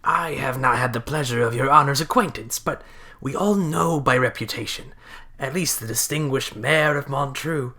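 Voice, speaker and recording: An actor playing a fawning, formal court official in an audio drama, gushing and obsequious. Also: male voice, medium pitch, moderate pace, pushed and bright. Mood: effusive, flattering.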